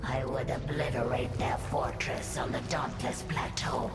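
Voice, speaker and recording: A woman's voice: extremely low pitch, steady tone, unhurried. A woman playing a proud, warlike alien brood mother in a video game, speaking formally and solemnly with a layered creature effect. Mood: fervent devotion. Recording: echoing voice under loud music.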